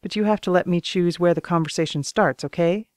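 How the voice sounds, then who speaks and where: A woman's voice: fairly low pitch, brisk, dry recording, clean studio sound, close to an everyday voice. A woman reading an audiobook as a composed, wry author character who narrates and debates. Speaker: audiobook reader.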